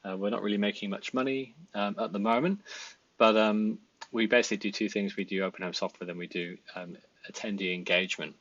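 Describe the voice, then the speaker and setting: A man's voice: low-pitched, a bit bright, lo-fi sound. A Welsh man in his thirties, a designer and part-time founder, talking casually about himself with lots of fillers and restarts. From Wales. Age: 30s. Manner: rambling, many fillers and restarts. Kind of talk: casual self-introduction vlog. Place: indoor home room at a desk.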